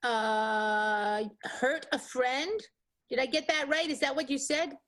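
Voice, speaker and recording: A woman playing a giggly, agreeable vaudeville friend in an improvised radio soap, easygoing and drawn-out in her replies. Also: high-pitched, steady tone, fairly bright tone. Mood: puzzled, agreeable.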